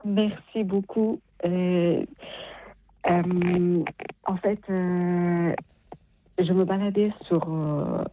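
A woman from Iran calling a radio show by phone, earnest and halting, repeating phrases as she goes. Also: on the low side, fairly even intonation, a little hiss or hum. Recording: tinny narrow phone line.

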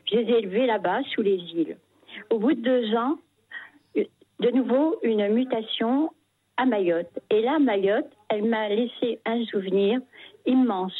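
An older woman from Paris calling in by phone, reminiscing in short, halting phrases. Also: medium pitch, dry recording. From Paris, France. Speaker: phone-in caller. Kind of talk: personal testimony, reminiscing. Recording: muffled telephone line, husky sound.

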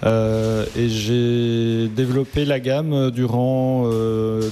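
A man speaks in a mellow, soft-toned voice, pausing often with fillers as he works through his answers. He is a chocolatier guest chatting casually in an interview. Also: medium pitch, little pitch movement, slight background noise. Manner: hesitant, many fillers.

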